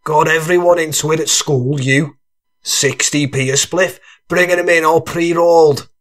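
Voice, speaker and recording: A man's voice: fairly high pitch, fairly even intonation, moderate pace, a bit bright, lightly acted. A man playing a cheerful, boastful drug dealer in an audiobook, slangy and teasing. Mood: cheerful reminiscing. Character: cheerful, boastful, cackling male drug-dealing pal. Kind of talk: full-cast audiobook character acting.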